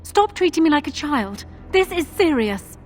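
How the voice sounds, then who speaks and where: High-voiced, proud young noblewoman. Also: big pitch swings, normal speed. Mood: urgent, protesting. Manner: urgent, assertive. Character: proud, insistent young noblewoman, a general's daughter. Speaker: voice actor in a fantasy role-playing game. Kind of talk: dramatic fantasy game cutscene acting.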